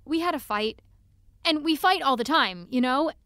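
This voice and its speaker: A woman's voice: sits high, animated, moderate pace, a bit bright, no room sound. A young woman playing an earnest, anxious, apologetic teenage girl in an audio drama, hesitant and rambling. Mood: hurt, confessional. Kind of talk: naturalistic audio drama acting.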